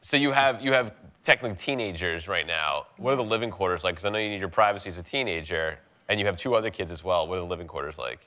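A man co-hosting a TV talk show, firing off animated questions and jokes. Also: low voice, fast talker, hardly any pauses. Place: TV studio with audience.

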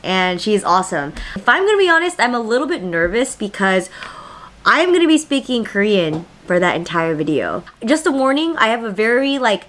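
A woman's voice: mid-range voice, very animated, fairly quick, a bit bright. A woman in her twenties talking casually to camera in a home vlog, upbeat and chatty with lots of filler words. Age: 20s. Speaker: vlogger. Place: small home studio room indoors.

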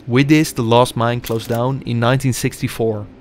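A young man reads scripted documentary narration in a measured, explanatory way. His voice sits at an ordinary middle pitch, and faint music plays underneath. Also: little pitch movement. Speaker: urban explorer narrator.

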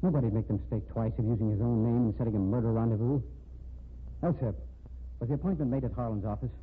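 An actor playing an embittered, lovesick murder suspect in a 1950s radio drama, intense and urgent. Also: male voice, mid-range voice, fast talker, close to an everyday voice. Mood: bitter. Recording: tinny, noisy 1950s radio recording.

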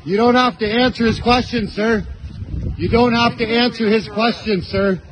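A man's voice: extremely high pitch, steady tone, moderate pace, fairly bright tone, slight background noise, rough recording. A man arguing in an agitated, accusatory, repetitive way while filming on a street. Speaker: bystander filming a police stop. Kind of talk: confrontational street argument. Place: outdoors on a city street. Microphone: handheld phone. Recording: busy street phone video.

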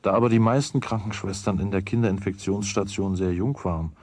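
An actor playing the storyteller, narrating a German children's radio play from a script. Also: male voice, low-pitched, fairly even intonation, brisk, a little mellow, no room sound. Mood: calm storytelling. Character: male storyteller of a children's tale.

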